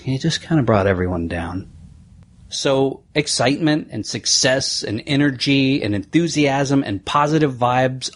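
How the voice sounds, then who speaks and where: A man's voice: mid-range voice, slightly rough. A man hosting a solo podcast, talking through lessons in an earnest, motivational, conversational way. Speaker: podcast host.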